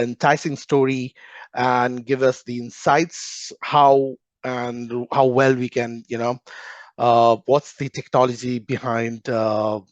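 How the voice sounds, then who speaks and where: A man's voice: medium pitch, no room sound, lo-fi sound. A man hosting an interview podcast, introducing his guest hesitantly with many fillers. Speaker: podcast host.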